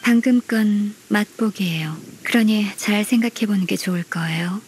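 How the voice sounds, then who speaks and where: A woman's voice: mid-range voice, darkish tone, a little hiss or hum, lightly acted. Woman playing a formal, politely stern young secretary. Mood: stern, interrogating. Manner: formal, measured, politely firm. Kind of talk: Korean anime-style game voice acting.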